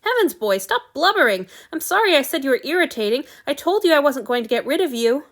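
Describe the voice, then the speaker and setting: A woman's voice: medium pitch, lively intonation, brisk, natural voice. A woman voicing a brusque, grumbling male god in a storybook audiobook. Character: gruff male god, lord of the gods, brusque but tender.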